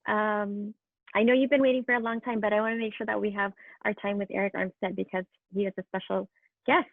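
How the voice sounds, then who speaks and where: A woman's voice: mid-range voice, fairly even intonation, brisk, vocal fry, dry recording. A woman teacher moderating a class video call, speaking casually and encouragingly as if to young children. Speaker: elementary school teacher moderating questions. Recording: tinny, phone-like video call audio.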